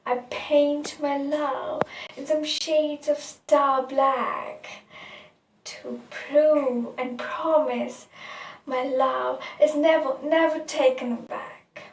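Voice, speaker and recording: Very slow, high-voiced woman reciting poetry. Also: steady tone. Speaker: poet reciting own poem. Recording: echoey room, distant mic.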